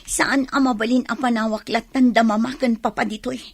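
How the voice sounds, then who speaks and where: A woman plays an unhappy young wife with a defiant streak in a radio drama. Her voice is fairly high and recorded dry and close. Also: steady tone, fairly quick, creaky, no echo. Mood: hurt, resentful. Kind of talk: radio drama acting in Ilocano.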